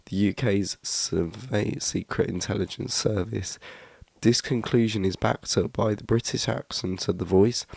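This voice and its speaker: A man's voice: low voice, vocal fry, darkish tone, no room sound. A man reading a scripted documentary narration, stumbling now and then and restarting his sentences. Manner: reads a script with frequent slips and restarts.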